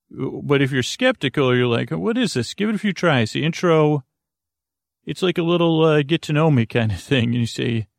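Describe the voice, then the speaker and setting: Male bedtime podcast host, mid-pitched and creaky, meandering and self-interrupting delivery, recorded dry. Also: dry recording. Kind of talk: rambling bedtime podcast monologue.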